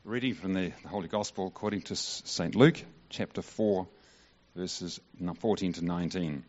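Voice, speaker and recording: A man reading the gospel aloud in church in a measured, formal way. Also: low voice, a little mellow, dull audio. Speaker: scripture reader.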